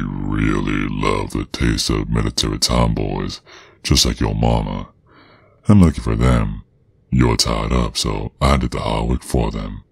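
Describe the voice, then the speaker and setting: A man voicing a menacing, protective werewolf father in an ASMR roleplay, with a Southern US accent and a very deep, gravelly, husky voice, drawling out taunts. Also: no room sound, clean studio sound, full character voice.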